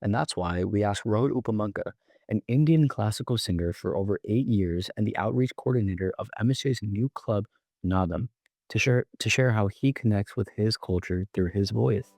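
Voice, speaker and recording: A teenage boy with a very low voice co-hosts a school podcast in a loose, conversational way. He talks casually and leans on easy fillers. The recording is close and dry. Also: moderate pace, gravelly, dry recording. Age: teens. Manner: relaxed, with frequent fillers. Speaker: student podcast co-host.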